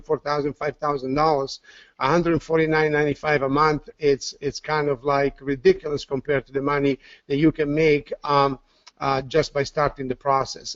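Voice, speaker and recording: Italian-accented man pitching with eager energy. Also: dry recording. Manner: enthusiastic, repeats words with fillers. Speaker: webinar presenter. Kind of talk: sales pitch webinar. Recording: dull, dry lo-fi audio.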